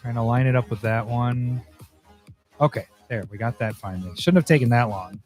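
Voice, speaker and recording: A slightly hoarse man, losing his voice, talking casually through a livestream as host while he edits, thinking aloud and getting easily annoyed. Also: mid-range voice, a little fast, darkish tone. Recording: faint music behind voice.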